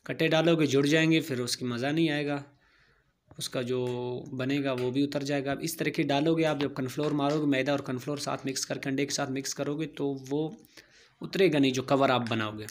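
A man's voice: mid-range voice, steady tone, brisk, a little hiss or hum. A man narrating a home cooking tutorial, giving plain step-by-step instructions and repeating key phrases. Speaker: home cooking channel host.

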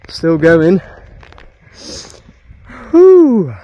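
A man's voice: high voice, wildly swooping pitch, drawn-out words, dull audio. A young man, chatting breathlessly into a phone camera while hiking a rainy hilltop. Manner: breathless, chatty, laughing between remarks. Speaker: vlogger. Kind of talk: casual travel vlog narration. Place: outdoors on a rainy misty hilltop. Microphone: phone camera at arm's length.

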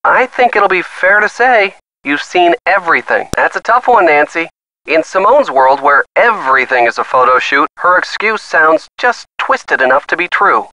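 A man's voice: on the high side, animated, moderate pace, bright, forceful tone, no echo, rough recording. A man playing a supportive, easygoing young boyfriend with a wry, teasing manner in a detective video game. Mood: playful, encouraging. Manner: teasing, encouraging. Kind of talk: video game dialogue acting, phone conversation.

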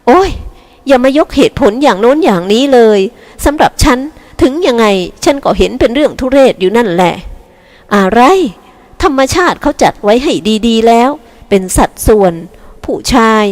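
A woman's voice: on the high side, lively intonation, normal speed, darkish tone, pitched above the performer's own voice, close to an everyday voice. A woman voicing a stern, old-fashioned grandmother who scolds with indignation, in a Thai audiobook reading. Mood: scolding.

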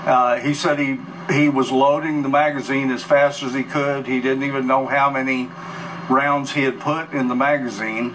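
A man in his sixties with an American accent, recounting events as an interview guest in a sober, halting, matter-of-fact way. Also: medium pitch, level tone, fairly bright tone. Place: news bureau studio, replayed on a TV in a room. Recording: distant TV filmed off screen, clear noise.

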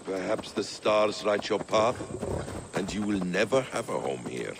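Grave, low-voiced scholar-warrior. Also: male voice, very animated, gravelly, background noise, exaggerated voice. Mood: musing. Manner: measured, eloquent, theatrical. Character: worldly, thoughtful Middle Eastern scholar-warrior, grave and poetic. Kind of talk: dramatic video game acting.

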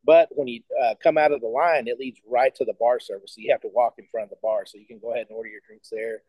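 A man's voice: mid-range voice, fairly even intonation, fast, breathy edge, dry recording, muffled recording. A middle-aged man chatting casually as an interview guest on a video call, explaining his restaurant business. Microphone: video call, device at about arm's length.

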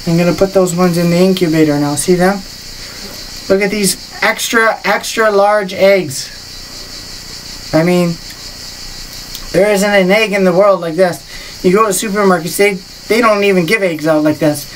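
A woman in her thirties talks casually to a handheld camera while walking around a rural farm. She rambles and restarts her phrases. Crickets and insects are clearly heard outdoors behind her. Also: lowish voice, normal speed, pauses often, a little hiss or hum, rough recording. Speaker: vlogger showing her farm. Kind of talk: casual walk-and-talk vlog.

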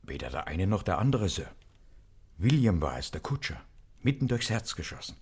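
A manservant in a detective radio play. His voice is deep and husky. He speaks with formal deference and grave restraint. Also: very animated, moderate pace, a little mellow, full character voice. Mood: grave, deferential. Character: formal, discreet male servant bringing grave news. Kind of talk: German radio play acting.

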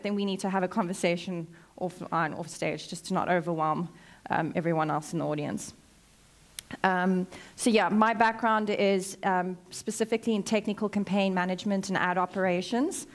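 A woman in her thirties with a South African accent, giving a conference talk in a chatty, conversational way full of ums. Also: medium pitch. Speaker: conference speaker, consultant. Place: large hotel conference hall, indoors.

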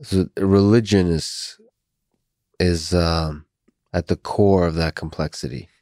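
Low-voiced young man, slow measured questions. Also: fairly even intonation, mellow, no room sound, studio quality. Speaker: podcast host. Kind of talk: interview questions. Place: small office. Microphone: studio mic on boom arm.

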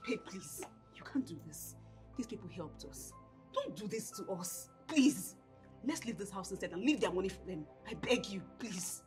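An actress playing a desperate wife who begs and shouts at her husband. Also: mid-range voice, lively intonation, normal speed, over music, natural voice. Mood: pleading. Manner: pleading, then shouting. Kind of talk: Nigerian drama skit acting.

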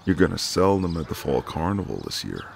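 A man playing a friendly, gallant, gently teasing ex-marine neighbour in an intimate, easygoing one-sided roleplay. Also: deep voice, sing-song, fairly quick, vocal fry, a little hiss or hum, full character voice. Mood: gently teasing, insistent. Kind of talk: intimate ASMR roleplay, one side of a conversation.

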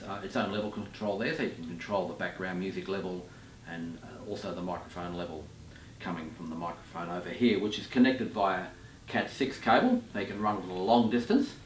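Low-voiced man in a roomy recording. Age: 50s. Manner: hesitant, halting delivery. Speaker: product demonstrator. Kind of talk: unscripted product demonstration. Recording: roomy, distant mic with background noise.

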